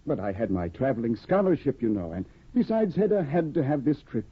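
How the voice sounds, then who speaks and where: An actor playing an eager, naive young scholar and newlywed husband in a 1950s radio drama, fussy and stammering. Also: male voice, mid-range voice, sing-song, a little fast, slightly rough. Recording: tinny old radio broadcast.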